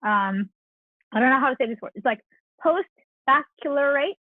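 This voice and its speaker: A woman's voice: sits high, lively intonation, normal speed, no room sound. A woman in her twenties from South Korea with a Korean accent, chatting casually as a podcast guest. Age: 20s. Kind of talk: casual chat. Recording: tinny, phone-like line, dry.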